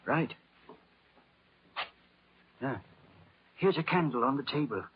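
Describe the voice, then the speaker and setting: A man's voice: mid-range voice, animated, slow, a little hiss or hum. An actor playing an anxious, eager English nobleman in 1940s radio style. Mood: startled. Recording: muffled old radio recording.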